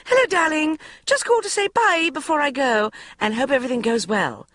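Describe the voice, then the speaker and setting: A woman voicing a flighty, middle-aged mother who talks in a high-pitched, hysterical, gushing way. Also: expressive, a little fast, fairly bright tone, no echo. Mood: wheedling. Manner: breathless gushing, wheedling.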